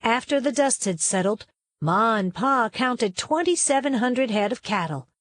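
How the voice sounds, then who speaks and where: A woman narrates a storybook with expressive, folksy delivery. Her voice sits a little high, and the sound is fairly dry and close. She leans on key words for dramatic emphasis. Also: measured pace, dry recording. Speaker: read-aloud narrator.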